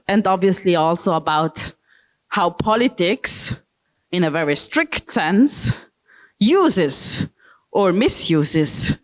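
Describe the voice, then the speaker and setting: A woman from South Tyrol giving a public lecture with slides, speaking slowly with frequent ums and check-in okays. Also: mid-range voice, poor audio. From South Tyrol, Italy.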